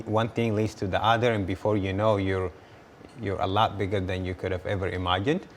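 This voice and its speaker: A man's voice: low voice, slight background noise. A young man, answering interview questions earnestly and often repeating words as he restarts. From Ethiopia. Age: 20s. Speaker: guest entrepreneur.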